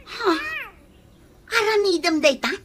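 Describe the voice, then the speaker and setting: High-pitched excitable younger woman. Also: slow, exaggerated voice. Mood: surprised. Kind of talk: Ilocano radio comedy drama acting.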